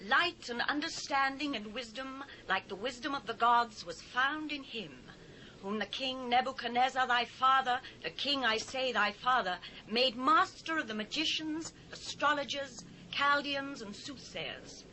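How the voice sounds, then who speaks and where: Bright, high-voiced British queen. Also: rough recording. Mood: urgent, commanding. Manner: declamatory, measured phrasing. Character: regal queen mother, urgent and commanding. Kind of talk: dramatised Bible reading, theatrical declamation. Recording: faint hiss, old cassette transfer.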